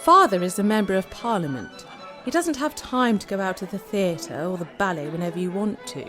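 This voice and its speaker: A woman's voice: mid-range voice, lively intonation, brisk, music in the background, close to an everyday voice. A woman playing a genteel young Victorian fiancée, affectionate and teasing, in a theatrical period drama. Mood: exasperated.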